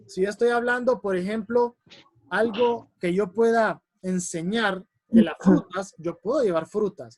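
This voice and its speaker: A man with a strong voice teaching a public speaking workshop, animated and repeating examples for emphasis. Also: high-pitched, dry recording. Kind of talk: workshop lesson. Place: classroom or online lesson.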